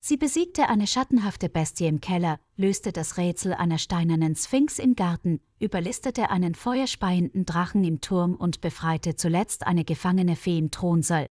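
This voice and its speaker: A woman's voice: lowish voice, moderate pace, hardly any pauses, darkish tone, no room sound, clean studio sound. A woman storyteller reading a scripted fairy tale as narration.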